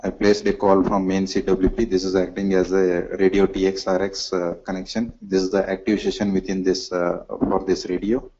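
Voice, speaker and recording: Low-voiced man, flat step-by-step delivery. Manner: halting, step-by-step explaining. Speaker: product demonstrator. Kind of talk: live software demonstration in a webinar. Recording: dull lo-fi webinar audio.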